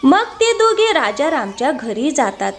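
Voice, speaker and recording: A woman's voice: sits high, sing-song, unhurried, slight background noise. A woman storyteller reading scripted narration for a moral tale.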